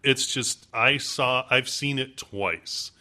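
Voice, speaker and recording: A man's voice: medium pitch, takes it slowly, pushed and bright. A man co-hosting a casual podcast chat, reacting with short exclamations. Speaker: podcast co-host.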